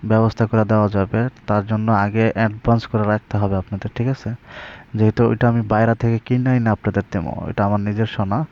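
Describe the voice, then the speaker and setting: A man's voice: fairly low pitch, a little mellow. A man with a Bangladeshi accent giving a casual, colloquial tutorial and sales talk, often checking in with his listeners. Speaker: online seller and video presenter. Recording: slightly dull, lo-fi audio.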